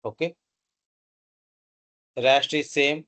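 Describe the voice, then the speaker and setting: A man narrating a step-by-step software tutorial in a halting, instructional way, with pauses between short phrases. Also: a little high, fairly even intonation.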